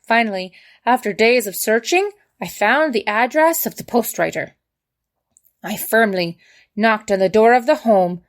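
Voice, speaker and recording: A woman's voice: a little high, measured pace, natural voice. A woman voicing a prim, indignant hobbit adventurer reading out her letter home with emphatic, dramatic storytelling. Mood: aggrieved.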